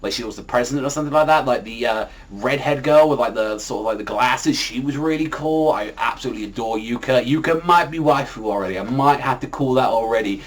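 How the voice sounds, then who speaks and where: A man with a medium-pitched voice talks as an anime reviewer in a casual, unscripted style. He rambles and repeats himself, leaning on filler words as he works through his opinions. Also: fast, few pauses. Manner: rambling, repetitive, full of "like" as a verbal habit.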